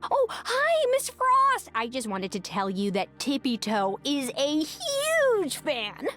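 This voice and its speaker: A youthful, gushing game heroine. Her voice is high and swings wildly in pitch, awkward and eager over faint music. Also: huge pitch swings, slow pace, a bit bright, heavily acted. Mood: starstruck, bubbly. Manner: bubbly, gushing, stammering.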